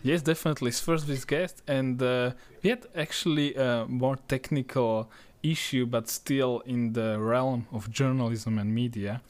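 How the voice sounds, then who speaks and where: A man co-hosting a podcast, chatting casually with many pauses and restarts. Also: mid-range voice, steady tone. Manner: hesitant, many fillers and restarts. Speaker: podcast co-host.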